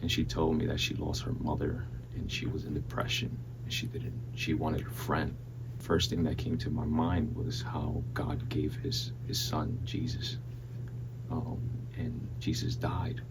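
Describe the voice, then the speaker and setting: A man in his twenties from the Dominican Republic, giving an earnest, halting personal faith testimony in a soft-spoken way. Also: extremely low pitch. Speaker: church member giving testimony. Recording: steady electrical hum behind voice.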